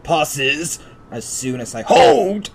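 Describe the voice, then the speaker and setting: A man hosting a gaming video, reading visual novel narration aloud haltingly and repeating phrases. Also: on the high side, very animated, vocal fry, slight background noise.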